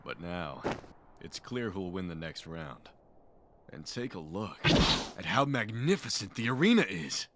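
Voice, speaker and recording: A man voicing a haughty, excitable follower of Hades in an anime fan dub, theatrical and indignant. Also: deep voice, a little slow, heavy background noise, exaggerated voice. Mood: smug, gloating.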